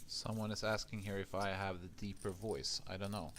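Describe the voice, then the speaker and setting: Deep-voiced calm man commentating poker. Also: background noise. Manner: calm, measured, reads viewer questions.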